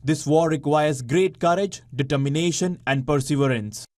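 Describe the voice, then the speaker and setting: A man's voice: on the high side, moderate pace, a little mellow, no echo, clean studio sound. A man delivering a formal statement in a news report.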